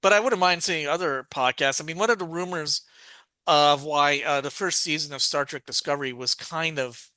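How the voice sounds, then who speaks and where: A man with a fairly high, very bright and clean voice hosts a casual podcast. He talks in a halting, rambling way, often doubling back to restart a phrase. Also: dry recording. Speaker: podcast host. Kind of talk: casual review chat.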